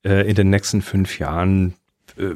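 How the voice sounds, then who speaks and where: A man's voice: low-pitched, flat delivery, moderate pace, darkish tone, dry recording, clean studio sound. A man co-hosting a casual tech podcast, playful and teasing. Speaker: podcast co-host. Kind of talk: casual chat.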